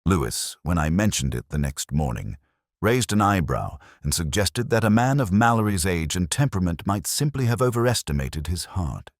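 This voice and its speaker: Brooding older detective , played by a man: very deep voice, wide melodic range, reflective first-person drama narration, close dry sound. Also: sing-song, dry recording, full character voice. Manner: measured, literary, reflective.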